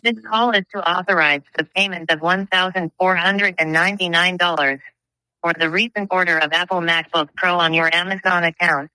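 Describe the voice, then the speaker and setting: A woman's voice: on the low side, fairly even intonation, normal speed, pushed and bright, dry recording, low-quality recording. A woman's voice giving a formal, impersonal scripted automated phone message. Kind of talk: scripted recorded announcement.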